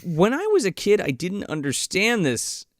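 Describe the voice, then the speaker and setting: A man's voice: high-pitched, wildly swooping pitch, moderate pace, dry recording, clean studio sound. A man co-hosting a podcast, chatting casually and profanely about a movie and acting out its scenes. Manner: profane, jokey, acts out scenes. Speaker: podcast co-host. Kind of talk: casual movie review chat.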